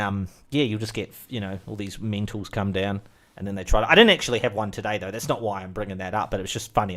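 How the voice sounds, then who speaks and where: A young man with a New Zealand accent, rambling through a casual monologue with lots of fillers and asides. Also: on the low side. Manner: chatty, full of fillers and asides. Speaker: vlogger.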